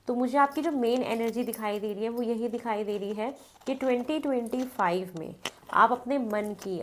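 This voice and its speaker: A woman with a cold and a blocked nose, giving a casual tarot reading to the camera. She talks in a chatty way, repeats her phrases and chants between the cards. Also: medium pitch. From North India. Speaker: tarot card reader.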